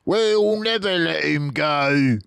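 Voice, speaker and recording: A pot-bellied little dwarf farmer squeals in a shrill, whiny voice far above the reader's own. The delivery is slow and loud, in a dry, close storybook reading. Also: male voice, gravelly, clean tone, no room sound, heavily acted.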